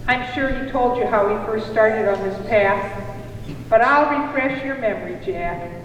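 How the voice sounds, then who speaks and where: A woman playing a kindly motherly cafe keeper in a live puppet show, telling tales in a deliberate, expressive way. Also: fairly high pitch, a little hiss or hum, natural voice. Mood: earnest recounting. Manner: deliberate, expressive storytelling to children. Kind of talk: live storytelling puppet theatre. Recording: echoey hall from a distance, music behind.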